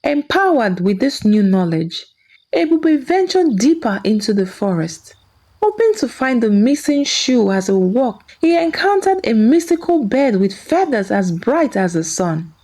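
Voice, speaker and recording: A woman's voice: a little high, big pitch swings, a little slow, dark tone. A woman narrating a scripted folktale as a storyteller.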